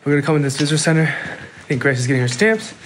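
A man's voice: a little high, lively intonation, rapid-fire, fairly bright tone, a little hiss or hum, poor audio. A young man narrating a casual travel vlog in a matter-of-fact, informative way. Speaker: travel vlogger. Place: outdoors at a snowy canyon overlook. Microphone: phone camera at arm's length.

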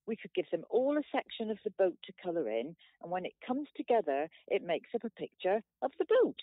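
A woman's voice: mid-range voice, big pitch swings, a little fast, dry recording. A woman playing a frazzled, eager mother with a flustered, rambling manner in an amateur radio play. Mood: apologetic, rambling. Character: Helen, frazzled, eager mother at community meeting. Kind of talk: amateur radio play, video-call meeting. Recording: thin, dry phone line.

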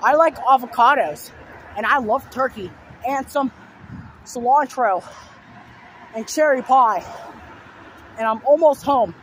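A hoarse young man with a sore throat rambling through repeated simple lists in a casual vlog, filmed outdoors beside a road on a phone held at arm's length. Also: very high-pitched, slow pace, long pauses, poor audio. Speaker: vlogger. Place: outdoors on a fenced overpass beside a busy road. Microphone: phone held low at arm's length. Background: faint passing traffic.

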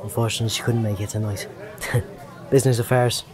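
Guy playing smooth menacing sci-fi agent, controlled, crowd chatter behind him. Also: on the low side, level tone, a little fast, natural voice. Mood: suave. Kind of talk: science fiction audio drama acting. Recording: clear crowd murmur behind.

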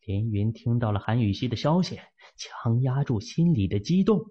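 A man narrating a fantasy novel as an audiobook, in a steady, measured storytelling manner, in a muffled lo-fi recording. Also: medium pitch, breathy edge.

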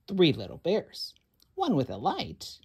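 A woman's voice: deep voice, huge pitch swings, slow pace, warm tone, breathy edge. A woman hosting a children's story time, reading aloud in a dramatic, suspenseful way with whispers and gasps.

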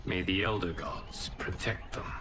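A man's voice: sits low, measured pace. A man playing an earnest, noble warrior god and burdened leader in a video game cutscene, formal and thoughtful in delivery. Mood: worried. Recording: clear background ambient noise.

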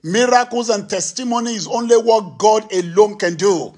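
A man's voice: moderate pace, a little mellow. A middle-aged man preaching a sermon from a church stage into a handheld microphone, emphatic and acting out little dialogues. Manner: emphatic preaching, acts out dialogue with rising pitch. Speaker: pastor. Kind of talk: sermon teaching.

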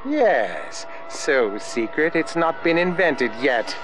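A man's voice: on the high side, sing-song, slow pace, heavy background noise. A man playing an eccentric, obsequious henchman scientist with erratic emphasis in a cartoon video game. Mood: dry, fawning.

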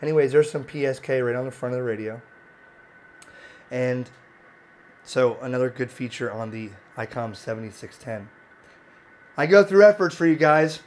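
A man with a slightly hoarse voice giving a plain-spoken, informal product demonstration as a video host, recorded indoors on a handheld camera. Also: medium pitch, normal speed, long pauses, slight background noise. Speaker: amateur radio video host. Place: indoor home radio room. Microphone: handheld camera mic.

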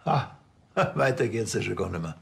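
Gravelly, laconic Bavarian policeman. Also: fairly low pitch, expressive, moderate pace, heavily acted. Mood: dry, probing. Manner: offhand, laconic. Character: dry, stubborn male small-town Bavarian police officer.

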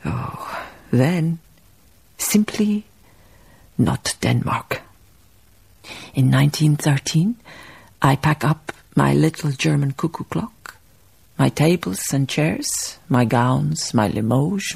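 Husky, slow-speaking melancholy Danish baroness. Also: female voice, low-pitched, darkish tone, full character voice. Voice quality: husky, breathy, creaky. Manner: slow, musing, literary. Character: Karen Blixen, reflective, melancholy Danish baroness recalling her life. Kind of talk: radio drama narration.

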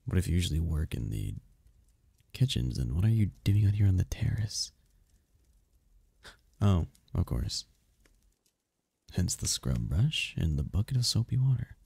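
A man playing a seductive, imperious demon prince in an ASMR romance roleplay, teasing and possessive, with a husky, slow, theatrical purr. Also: low voice, sing-song, dark tone, no room sound, exaggerated voice. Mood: intrigued. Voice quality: gravelly, husky, often breathy whisper. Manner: slow, purring, theatrical, commanding.

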